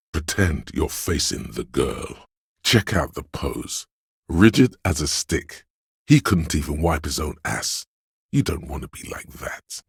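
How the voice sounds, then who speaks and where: Game casting: slick inner voice of style, extremely low bass, coaxing and theatrical, close dry sound. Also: male voice, no room sound, full character voice. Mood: sly coaching. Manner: smooth, theatrical, coaxing second-person address. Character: inner voice of agility and style, slick urging hustler. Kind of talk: video game inner-monologue voice lines.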